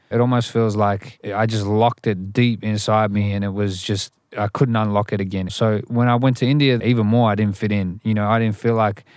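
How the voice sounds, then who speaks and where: Low, smooth-voiced man. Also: darkish tone, no echo, clean studio sound. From Australia. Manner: thoughtful, frequent restarts and "you know". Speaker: rapper, guest. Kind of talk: interview, reflective storytelling.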